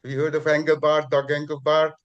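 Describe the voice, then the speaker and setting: A man on a video call explaining his work in a halting way, often restarting and repeating words. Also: on the high side, completely flat delivery, fairly bright tone, clear, resonant tone, muffled recording. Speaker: guest describing his work. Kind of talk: casual video call conversation.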